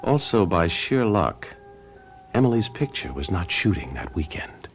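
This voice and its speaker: An actor playing a charming, persuasive husband in a radio drama, with a smooth, playfully gallant manner. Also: male voice, low voice, sing-song, unhurried, music in the background. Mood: scheming narration. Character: charming, persuasive, spendthrift husband wheedling his actress wife.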